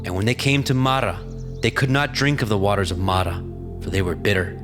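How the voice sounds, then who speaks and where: A man's voice: on the low side, a little fast. Solemn male scripture narrator over music. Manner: solemn, formal storytelling. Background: prominent music.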